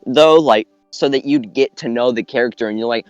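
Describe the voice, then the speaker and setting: Halting, casual man on a podcast. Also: mid-range voice, darkish tone, clean tone, no room sound, lo-fi sound, faint music behind. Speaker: podcast panellist. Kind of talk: casual chat.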